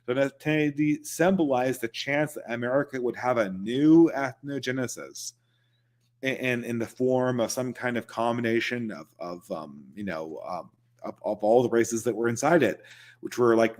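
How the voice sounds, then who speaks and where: A man hosting a casual livestream, answering viewer questions in loose, conversational talk and chuckling between points. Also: mid-range voice.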